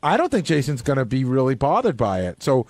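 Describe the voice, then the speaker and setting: A man in casual, animated discussion on a radio panel, analysing and recounting conversations. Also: medium pitch, fairly quick, no room sound. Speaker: radio show staff member and panelist.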